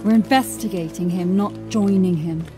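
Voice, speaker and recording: A woman's voice: fairly low pitch, moderate pace, over music, natural voice. A woman playing an alert, level-headed city watch officer in a fantasy audio drama, observant and urgent. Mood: placating.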